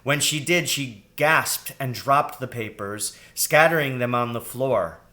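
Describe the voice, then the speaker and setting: A man narrating a children's storybook in a deliberate, expressive read-aloud style. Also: medium pitch. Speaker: audiobook reader.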